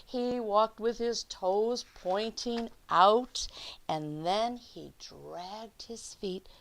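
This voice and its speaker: A woman reads a picture book to children at a slow, unhurried pace in a mid-pitched voice. She is expressive, stretching key words and slipping into hushed asides as she puts questions to her young audience. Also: gravelly. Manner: addresses young listeners with questions. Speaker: children's storyteller.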